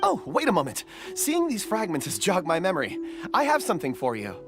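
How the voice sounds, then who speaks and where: A man playing a gentle, polite and dreamy young character in a video game, earnest in manner. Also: sits high, huge pitch swings, a little fast, music in the background, heavily acted. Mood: grateful.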